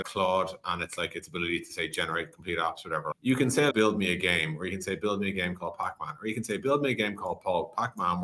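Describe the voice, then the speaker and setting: A man's voice: low-pitched, fairly bright tone. A man speaking as a podcast guest in a conversational tech interview, explaining animatedly with analogies and quoted examples.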